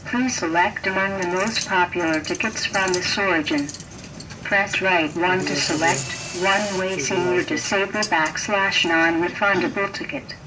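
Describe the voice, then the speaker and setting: A robotic woman's voice reads scripted ticket machine instructions in a formal, measured way. It sits slightly low for a female voice, and it comes through a machine speaker some way from the mic, with light station noise around it. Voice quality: synthetic, robotic. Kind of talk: scripted automated audio instructions. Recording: noisy distant machine speaker.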